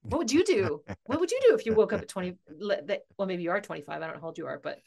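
Lively, laughing woman guest. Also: medium pitch, racing, creaky, slightly rough. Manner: animated, laughs often, thinks aloud. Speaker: podcast guest, author and coach. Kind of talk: casual interview chat.

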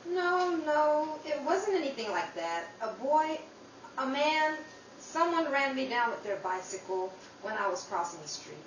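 A woman's voice: high-pitched, sing-song, measured pace. A woman playing a sweet, earnest youngest sister, a young adult, in an old-time radio style drama. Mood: anxious, apologetic. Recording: roomy, distant mic, noisy.

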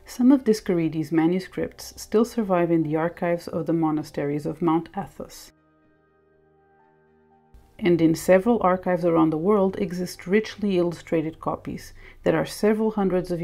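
Low, creaky-voiced woman narrating. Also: normal speed, mellow. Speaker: presenter. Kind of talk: scripted educational narration. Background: music.

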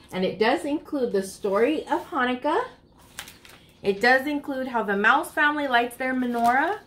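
Storytime reader: American woman in her thirties, slightly high voice, expressive delivery aimed at young children. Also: slight background noise. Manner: addresses young listeners, expressive picture-book reading. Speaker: children's storytime host at a public library. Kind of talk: read-aloud storytime for children.

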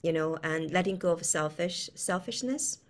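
A middle-aged woman from China with a Chinese accent, explaining in a conversational, halting way as an interview guest, often with a chuckle in her words. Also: on the low side, slight background noise. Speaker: guest, quantum physicist. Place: indoor room, video call.

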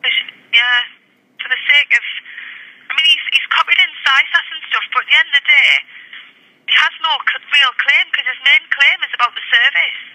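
A woman's voice: sits high, moderate pace, bright, forceful tone. A British woman working in customer service, speaking in a colloquial, blunt way on a tinny voicemail phone recording. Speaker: customer service executive office staffer. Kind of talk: phone message and casual office chat. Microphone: telephone.

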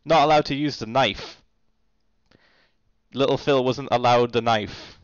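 A man in his thirties chatting casually to viewers during a live stream, animated and swearing freely, recorded in a home kitchen on a headset mic. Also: medium pitch, measured pace, clear, resonant tone, no echo, muffled recording.

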